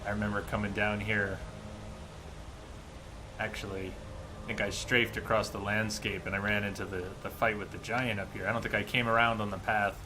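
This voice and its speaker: A man's voice: lowish voice, level tone, moderate pace, noisy background. A man giving casual, musing live commentary as he streams a video game. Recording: faint game rain behind voice. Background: game ambience and rain.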